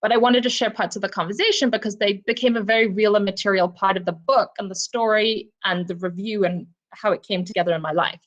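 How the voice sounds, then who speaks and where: A woman's voice: medium pitch, steady tone, quick pace, hardly any pauses. Woman from Zimbabwe, professor at a book club, thoughtful and personal, leaning on ums and you knows, heard over a video call. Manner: reflective, personal, frequent "um" and "you know" fillers. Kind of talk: virtual book discussion talk.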